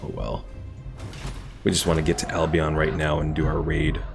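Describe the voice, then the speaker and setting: A man's voice: rumbling low, monotone, moderate pace, creaky, music in the background. A man casually chatting with viewers while streaming a strategy game, laid-back and rambling. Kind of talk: casual live stream commentary.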